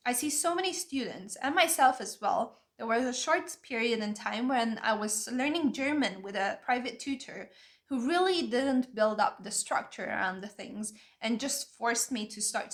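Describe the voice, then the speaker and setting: A woman's voice: a little high, animated, measured pace. A young woman whose first languages are Vietnamese and Hungarian, talking to camera in an earnest, opinionated way as she explains step by step. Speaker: language-learning video creator. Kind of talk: talking-head advice video.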